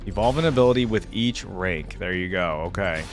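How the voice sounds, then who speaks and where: A man's voice: sits low, expressive, brisk. A man giving casual, informal reaction commentary as a video host, musing and thinking aloud as he goes. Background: game music.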